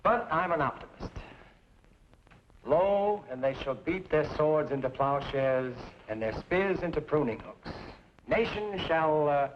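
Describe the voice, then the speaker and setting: Grandiloquent frontier printer, muffled recording. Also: male voice, a little high, animated, unhurried. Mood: genial, philosophical. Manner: theatrical, grandiloquent, quotes poetry and scripture. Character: genial, bookish middle-aged frontier printer, philosophical optimist. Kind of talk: old-time western drama acting. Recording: dull lo-fi recording, faint noise.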